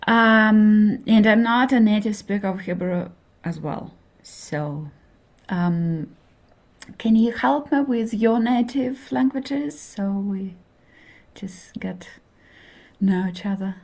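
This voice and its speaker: Slow, hesitant woman lecturing. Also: mid-range voice, creaky, darkish tone. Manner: hesitant, many fillers, checks in with okay. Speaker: linguistics lecturer. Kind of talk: introductory university lecture.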